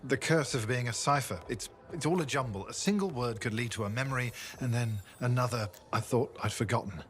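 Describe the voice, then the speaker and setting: An actor voicing a video game's haunted defector, a former state assassin with a halting, brooding, confessional delivery. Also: male voice, mid-range voice, lightly acted. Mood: weary, haunted. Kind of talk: video game character dialogue.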